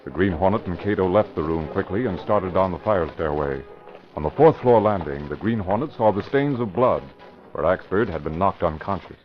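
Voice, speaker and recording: A man narrating a radio drama in a measured, dramatic storytelling manner. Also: low-pitched, lively intonation, music in the background. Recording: dull old radio broadcast.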